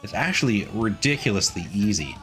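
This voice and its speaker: A man narrates his gameplay in a casual, chatty way. His voice sits on the low side and his pitch moves around a lot. He talks informally, as if thinking out loud while he plays. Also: animated, fairly quick, over music. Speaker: gaming video host.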